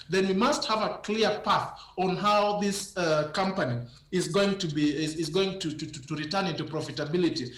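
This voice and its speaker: A man with a cold, speaking as a business analyst in a live news interview, halting and repeating words often over a remote link. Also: high voice, fairly bright tone, reverberant, low-quality recording. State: has a cold.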